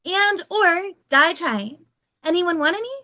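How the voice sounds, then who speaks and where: A woman's voice: extremely high pitch, lively intonation, measured pace, pushed and bright, no echo, studio quality, heavily acted. A woman playing an unhinged, manic male professor in a comedy table read. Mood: manic, singsong.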